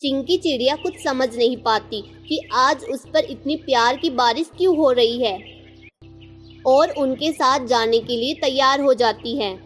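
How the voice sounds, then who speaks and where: A woman's voice: high-pitched, unhurried. A woman narrator reading a scripted children's story.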